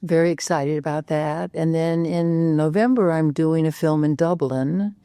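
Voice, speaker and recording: Older actress guest with a low, dry voice; loose, filler-heavy anecdotes. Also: female voice, steady tone, a little slow, warm tone, clean tone, dry recording. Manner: rambling, many "you know" fillers, restarts. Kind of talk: casual interview chat.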